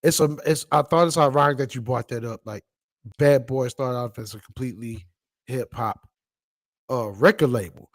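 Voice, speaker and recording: A man's voice: medium pitch, normal speed, dry recording. A man hosting a casual interview, eager and starting over as he asks his questions. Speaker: interview host.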